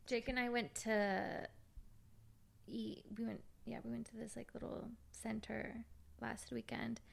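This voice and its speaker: A woman in her thirties co-hosting a podcast, with a high-pitched voice, upbeat and quick to agree in casual chat. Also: fairly even intonation, vocal fry. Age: 30s. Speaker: podcast co-host.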